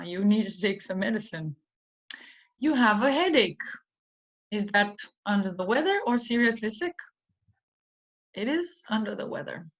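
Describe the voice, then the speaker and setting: A woman's voice: medium pitch, fairly bright tone, dull audio. A woman teaching an English idiom to adult learners in an unscripted lesson, explaining with many fillers and restarts and reading example sentences aloud.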